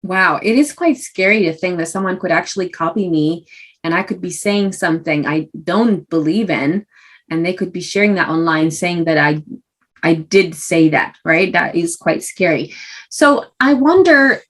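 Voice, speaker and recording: A woman's voice: fairly low pitch, moderate pace, a bit bright. A young woman from Romania with a Romanian accent, hosting a casual interview and asking chatty questions full of fillers. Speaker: podcast host.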